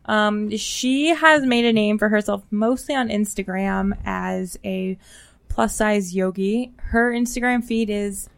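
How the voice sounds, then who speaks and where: A woman's voice: mid-range voice, slow pace, vocal fry. An American woman co-hosting a podcast, chatting casually about books in informal speech full of "like". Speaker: podcast co-host discussing upcoming books. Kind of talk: casual book chat.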